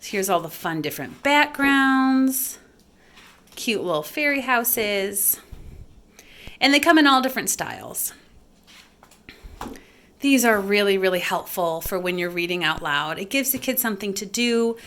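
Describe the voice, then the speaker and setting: A woman's voice: medium pitch, animated, a little slow, long pauses, a bit bright. A woman hosting a homeschool recommendation vlog, talking casually and encouragingly as one parent to others. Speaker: homeschool video host and parent.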